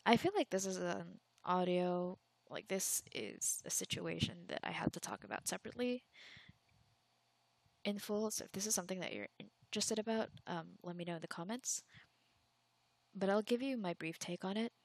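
A woman's voice: lowish voice, lively intonation, normal speed, gravelly, dry recording. A young woman from the Philippines chatting candidly in a casual Q&A, rambling with frequent fillers and restarts.

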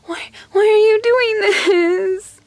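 Woman doing a captive fairytale princess, really high breathy voice, slow, tearful n pleading, close dry sound. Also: steady tone, a little mellow, no room sound, heavily acted. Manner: breathy, tearful, gasping, often whispering. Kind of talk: intimate audio roleplay acting.

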